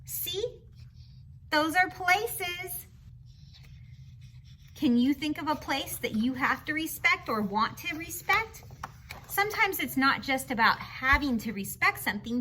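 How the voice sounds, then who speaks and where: A woman reads a children's book aloud in a very bright, high voice. She takes her time, speaking in an animated, teacherly manner and addressing young listeners directly. There is faint noise in the background. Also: a little slow. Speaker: picture-book reader. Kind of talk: children's read-aloud with explanatory asides.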